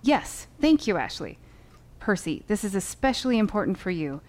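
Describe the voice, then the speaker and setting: A woman playing a brisk, exacting lawyer coaching witnesses in an audio drama, authoritative and instructive. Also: mid-range voice, sing-song, darkish tone, lightly acted.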